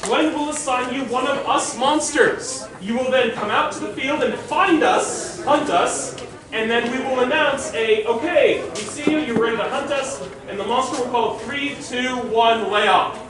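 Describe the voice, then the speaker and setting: A man with a very high, projecting voice gives informal instructions to a group as an event organizer. He is recorded from a distance in a heavily echoing open shelter, with a crowd clearly audible around him. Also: normal speed. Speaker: organizer of a live-action roleplay event. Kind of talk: loud rules briefing to a group. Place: open-sided concrete park pavilion. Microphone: far camera.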